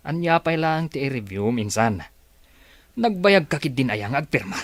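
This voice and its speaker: Pushy, scheming cousin with swooping pitch. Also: male voice, sing-song, slow, no echo. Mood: pushy, mocking. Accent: Filipino, Ilocano. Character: pushy scheming male cousin after a rich relative's signature. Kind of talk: Filipino radio drama acting.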